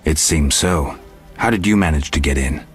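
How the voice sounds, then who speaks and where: An actor playing a stoic monster hunter in a fantasy game, with a dry, terse, deadpan delivery. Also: male voice, rumbling low, moderate pace, slightly rough, faint music behind. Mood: dry, level.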